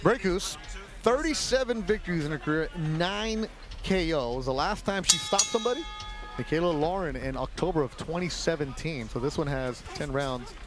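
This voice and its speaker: A male boxing commentator calls the action with a brisk, promotional delivery, his voice sitting a little high. Music plays clearly under the broadcast mix. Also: animated. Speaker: play-by-play commentator.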